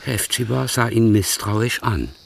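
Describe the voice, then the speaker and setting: A man narrating a radio play in a measured, wry storytelling manner. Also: medium pitch, creaky.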